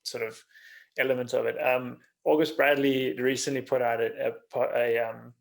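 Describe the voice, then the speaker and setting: Casual male interviewer with a creaky voice. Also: medium pitch, flat delivery, moderate pace. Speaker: podcast host interviewing a guest.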